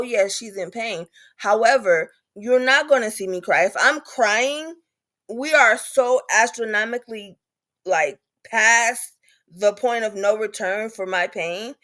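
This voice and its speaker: A woman's voice: mid-range voice, fairly bright tone. Emphatic woman speaking candidly on a panel. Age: 30s. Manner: emphatic, repeats points for stress. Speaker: patient advocate on a panel.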